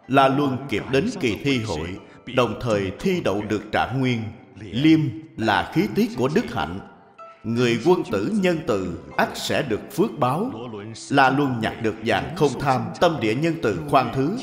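A solemn storyteller narrates a moral cartoon tale. His delivery is expressive in pitch, with long echoing reverb over music. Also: a little slow. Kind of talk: scripted narration.

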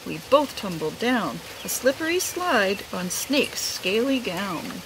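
A middle-aged woman, a teacher, reads a picture book aloud expressively, outdoors under a roof. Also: mid-range voice. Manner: unhurried, animated. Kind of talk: expressive read-aloud storytelling. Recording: rain noise behind the voice.

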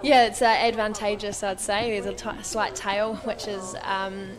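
A woman giving expert commentary on a canoe race in a relaxed, friendly manner. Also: medium pitch, a little slow, rough recording. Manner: relaxed, friendly, with light laughs. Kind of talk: casual sports commentary. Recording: crowd noise behind. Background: crowd at a regatta.

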